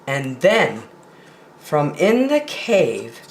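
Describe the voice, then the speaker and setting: A woman reads a children's picture book very slowly in a lowish, highly animated voice. She leaves dramatic pauses between phrases, and the room is echoey. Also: a little hiss or hum. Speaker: children's story time reader. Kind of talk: expressive picture-book reading.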